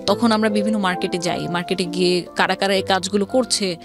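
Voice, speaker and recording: A woman's voice: mid-range voice, fairly even intonation, fast, soft background music. A woman with a Bangladeshi accent telling her personal story conversationally as an interview guest, mixing English words into her speech.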